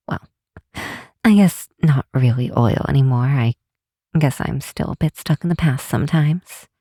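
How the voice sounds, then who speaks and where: A woman's voice: a bass voice, measured pace, warm tone, no echo, studio quality. A woman voicing an affectionate, bookish vampire researcher in an ASMR roleplay, talking tenderly and teasingly with small hesitations. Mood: fond, musing. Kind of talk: ASMR roleplay, one side of a late-night phone call.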